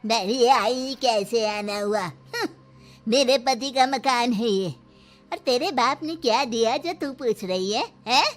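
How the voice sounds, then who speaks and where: A woman's voice: a little high, takes it slowly. A woman playing a bossy old village grandmother-in-law in a story, nagging and complaining. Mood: scornful scolding. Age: older. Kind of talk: dramatised Hindi moral story. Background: music.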